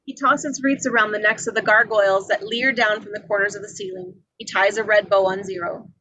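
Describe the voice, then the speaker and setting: A woman's voice: mid-range voice, fairly even intonation, brisk, sharp tone, poor audio. A woman reading out scripted scene descriptions and stage directions as a narrator. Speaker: reader of action descriptions.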